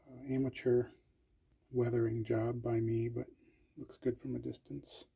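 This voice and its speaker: A man casually narrating a tour of his collection, listing items in a slow, low-key way with pauses and fillers. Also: little pitch movement, vocal fry, warm tone, husky.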